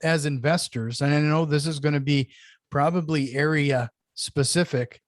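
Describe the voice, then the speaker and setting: Podcast host, man, slight northern accent, higher voice, dry close mic. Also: moderate pace, no echo. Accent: slight Canadian-sounding northern accent. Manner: short backchannels, easygoing questions. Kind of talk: podcast interview.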